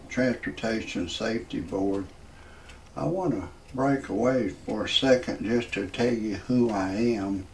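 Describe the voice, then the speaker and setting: A man in his sixties hosting a parody show, rambling through a comedic monologue in a halting, repetitive, grumbling way with a gravelly voice. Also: fairly low pitch, a little mellow, a little hiss or hum, poor audio. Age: 60s. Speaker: host of a parody report show.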